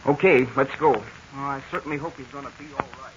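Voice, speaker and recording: A man's voice: mid-range voice, fairly even intonation, a little fast, very noisy, close to an everyday voice. A man doing an eager, worried boy sidekick. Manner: earnest, worried, boyish exclamations. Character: eager young male sidekick, earnest and anxious. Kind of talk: 1940s radio acting. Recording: dull lo-fi 1940 radio transcription.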